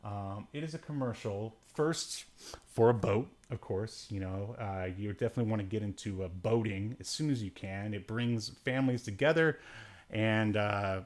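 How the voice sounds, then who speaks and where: A man's voice: fairly low pitch, normal speed. A man hosting a podcast, casually reviewing a movie in a rambling, joking way with sarcastic asides. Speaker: podcast host.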